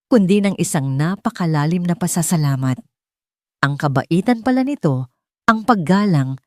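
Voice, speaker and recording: A woman's voice: low voice, darkish tone, no room sound, studio quality. A woman with a Filipino accent narrating a scripted, dramatic story.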